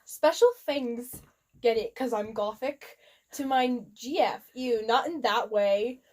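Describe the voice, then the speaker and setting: A woman with a fairly high voice reads a story aloud for comic effect. Her delivery is theatrical and giggly, as a dramatic reading among friends. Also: slow pace, a little mellow. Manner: giggly, theatrical, often breaks into laughter. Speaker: reader of a fan fiction.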